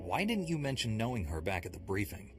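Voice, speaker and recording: Deep-voiced, stern Jedi soldier. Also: male voice, very animated, brisk. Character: stern, cold male Jedi Knight commando. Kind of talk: scripted video game acting. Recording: game music behind the voice.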